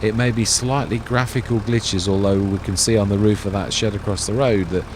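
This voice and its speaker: A quiet-voiced man hosting a farming game let's-play, chatting in a meandering, self-correcting way. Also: lowish voice, steady tone, a little fast. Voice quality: soft-spoken, quiet voice. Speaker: gaming channel host. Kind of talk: rambling let's-play commentary. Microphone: laptop gaming setup. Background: noisy computer hum.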